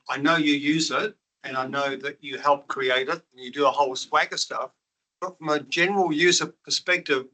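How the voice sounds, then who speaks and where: A man in a meetup audience asking a loose, rambling question, feeling his way through it. His voice is very bright and rather high for a man. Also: rough recording. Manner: rambling, searches for words. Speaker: audience member.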